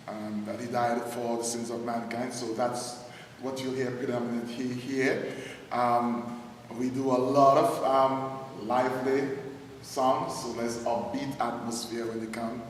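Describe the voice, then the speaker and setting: Hesitant man echoing from afar in a hall. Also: mid-range voice. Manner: hesitant, many ums. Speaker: church elder. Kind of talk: introducing the church to visitors. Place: large church hall. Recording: echoey hall from a distance with faint noise.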